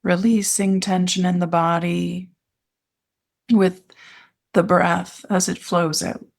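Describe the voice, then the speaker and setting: A woman with a lowish voice and a noticeable fry guides a meditation. She speaks as an instructor, in soothing phrases broken by pauses, giving gentle instructions. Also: little pitch movement.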